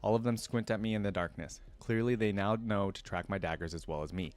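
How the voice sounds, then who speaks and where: A man's voice: fairly low pitch, brisk, a little mellow. A man hosting a reaction video, reading a story aloud and adding casual, conversational fan commentary.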